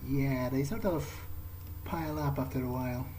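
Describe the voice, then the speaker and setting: A man's voice: mid-range voice, normal speed, slight background noise, low-quality recording. A man playing a video game in character, thinking aloud in hesitant, muttered asides to himself. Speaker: gameplay streamer role-playing in character. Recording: loud game music behind.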